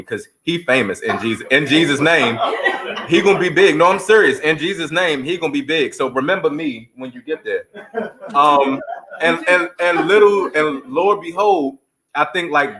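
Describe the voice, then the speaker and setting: A man's voice: mid-range voice, fairly bright tone, rough recording. A man giving heartfelt interview answers as a stage actor, telling stories earnestly and quoting scripture like a preacher.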